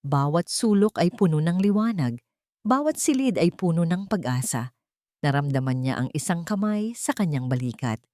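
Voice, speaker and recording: A woman's voice: on the low side, animated, moderate pace, dark tone, no echo, clean studio sound. A woman with a Filipino accent reading a scripted dramatic story as narrator and host, in an earnest, sentimental way.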